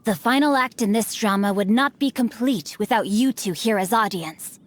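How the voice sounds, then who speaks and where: A man's voice: sits high, normal speed, fairly bright tone, a little hiss or hum. Refined bookworm swordsman. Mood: poised. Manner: eloquent, flowery, gushing about books. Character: bookish young male swordsman, refined and theatrical. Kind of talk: game dialogue.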